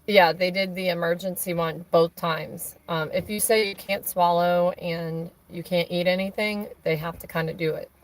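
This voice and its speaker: A woman with a hoarse, raspy voice chatting casually on a live stream, rambling with many fillers and restarts. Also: fairly low pitch, fairly even intonation, moderate pace, few pauses, low-quality recording. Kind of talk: casual chat on a phone call during a stream.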